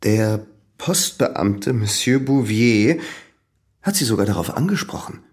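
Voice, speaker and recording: An actor playing a young examining magistrate, polite, measured and persistently probing in an audio drama interrogation. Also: male voice, fairly low pitch, lively intonation, darkish tone. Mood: pressing, measured. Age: young adult.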